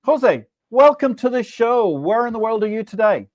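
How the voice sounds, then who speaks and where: Animated, theatrical male live stream host. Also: extremely high pitch, moderate pace, no echo. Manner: theatrical, emphatic, grandiose delivery. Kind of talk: animated scripted news monologue and guest interview.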